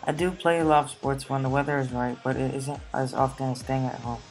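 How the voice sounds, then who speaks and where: A teenage boy from the United States reading his school essay aloud in a steady, plain way. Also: mid-range voice, fairly quick, soft background music, rough recording. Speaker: student.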